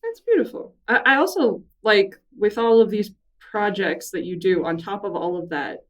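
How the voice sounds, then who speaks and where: A woman's voice: mid-range voice, lively intonation, moderate pace, recorded from a distance. Laughing, curious woman hosting a podcast. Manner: warm, curious questions, laughs often. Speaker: podcast host. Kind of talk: casual interview conversation.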